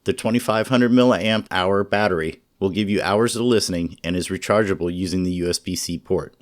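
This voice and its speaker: A man narrating a scripted product review in a measured, informative way. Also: low-pitched. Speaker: radio reviewer.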